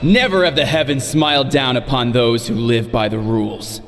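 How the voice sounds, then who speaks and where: Guy playing a ruthless game outlaw, mid pitch, grand talk, long echo. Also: steady tone, normal speed, close to an everyday voice. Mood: scornful, defiant. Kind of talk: video game voice acting. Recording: long reverb under music.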